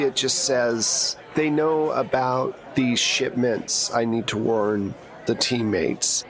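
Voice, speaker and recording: A man with a mid-pitched voice runs a tabletop roleplaying game as its game master. He narrates scenes off the cuff in plain, conversational speech, setting out what the players find and know.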